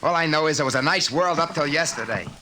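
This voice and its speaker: An actor playing a frantic, exasperated working-class husband in a 1950s radio drama, stammering and slangy. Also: male voice, on the high side, fast talker, sharp tone. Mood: weary, baffled. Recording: very noisy old radio recording.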